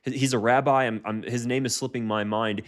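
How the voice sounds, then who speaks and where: A man's voice: medium pitch, fairly even intonation, brisk. An American man giving heated reaction commentary, often restarting his sentences. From United States.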